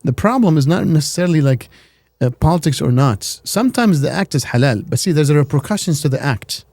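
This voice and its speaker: A man's voice: a little high, lively intonation, fairly quick, darkish tone, dry recording, clean studio sound. A man co-hosting a talk show, arguing his opinions with emphasis and often repeating words as he builds a point. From America. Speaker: podcast co-host giving opinions. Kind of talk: casual debate chat.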